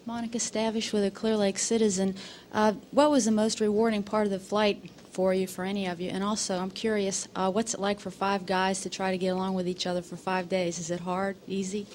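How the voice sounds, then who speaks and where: A woman's voice: medium pitch, little pitch movement, fairly quick, a little mellow. A woman, a newspaper reporter, putting questions to a panel at a news conference. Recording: hissy archival 1980s press conference audio.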